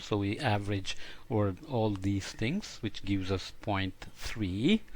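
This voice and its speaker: A man lecturing in an explanatory, podcast-style science lesson, thinking aloud as he works through each step with some hesitation. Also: fairly low pitch.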